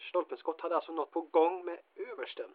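An actress playing a dry, questioning, reluctant co-conspirator in an audio drama. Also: female voice, lowish voice, phone-quality sound, natural voice. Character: wry, hesitant co-conspirator, dryly reluctant.

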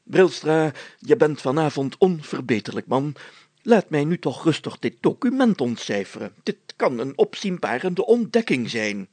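A man voicing a pompous, excitable town mayor in a children's story, spluttering and stumbling over his words. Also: fairly high pitch, normal speed, no room sound, pitched above the performer's own voice. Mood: stern, excited. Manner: spluttering, hesitant, exclamatory, stumbling while deciphering.

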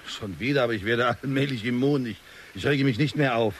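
A man's voice: mid-range voice, a little fast, slight background noise, natural voice. An actor playing a bitter, exasperated middle-aged father who is easily enraged, in a German radio drama. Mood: irritated, suspicious. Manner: exasperated, scolding, brooding.

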